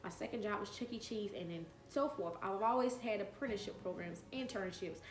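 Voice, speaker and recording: A woman's voice: mid-range voice, brisk, reverberant. A woman in her late twenties telling a personal story on her vlog, earnest and emphatic, repeating phrases to drive her point home. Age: 20s. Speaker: vlogger.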